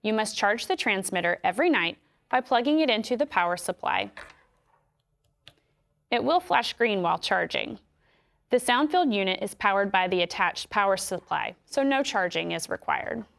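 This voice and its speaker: A woman with an American accent giving scripted instructions in a clear, measured way. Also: mid-range voice, animated, a bit bright. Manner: clear, measured explanatory delivery. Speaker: instructional video narrator.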